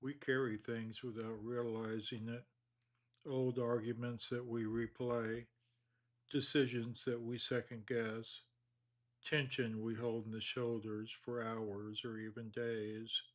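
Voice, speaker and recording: Guy talking you through a meditation, slow and calm, lots of pauses, middle pitch. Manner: slow, calm, measured delivery with long pauses. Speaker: meditation guide. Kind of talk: guided meditation.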